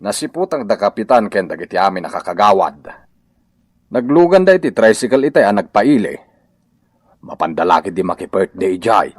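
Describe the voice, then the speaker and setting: A jokey, grumbling village man played by an actor in a radio comedy. His voice is low but very animated, with drawn-out complaints and exclamations, recorded dry. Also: no echo. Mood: eager, amused. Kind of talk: comedic radio drama acting.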